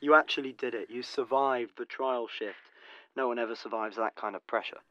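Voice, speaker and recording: An actor playing a breezy, gushing but callous studio producer in an audio drama. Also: male voice, mid-range voice, fairly even intonation, fairly quick, fairly bright tone, no echo, muffled recording, natural voice. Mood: delighted, impressed. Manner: breezy, effusive.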